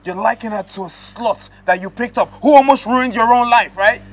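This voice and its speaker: A man playing an angry, protective brother who scolds and shouts. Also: very high-pitched, normal speed. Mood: scornful. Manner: scornful and shouting.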